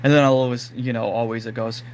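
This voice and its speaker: A man whose voice is going hoarse, talking casually and rambling to his live-stream audience with plenty of fillers. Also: medium pitch, level tone, fast talker, slight background noise. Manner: rambling, lots of fillers like "like" and "you know". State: losing his voice, throat-clearing. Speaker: livestream host.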